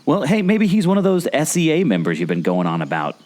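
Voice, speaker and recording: Casting: good-natured, easily distracted sidekick , played by a man, lightish pitch, very expressive, comic audio drama. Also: fairly quick. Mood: playful. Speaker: podcast co-host. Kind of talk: scripted audio drama dialogue.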